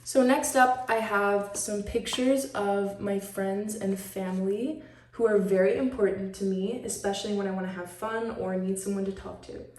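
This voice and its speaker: A woman's voice: medium pitch, steady tone, normal speed, a little mellow. Chatty teen girl, roomy and distant. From Switzerland. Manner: chatty and upbeat, talks a lot. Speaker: student presenting a school project. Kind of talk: show-and-tell presentation. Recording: roomy echo, mic at a distance.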